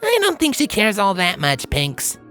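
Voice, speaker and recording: Casting: woman playing sardonic young cartoon mare, extremely varied pitch, music behind. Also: wildly swooping pitch, moderate pace, sharp tone. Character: brash, sardonic young female pegasus pony.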